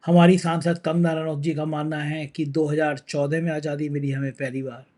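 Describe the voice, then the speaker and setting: A man's voice: on the high side, little pitch movement, moderate pace, a little mellow. A man hosting a political news talk show, talking with a sore throat in a conversational, emphatic way.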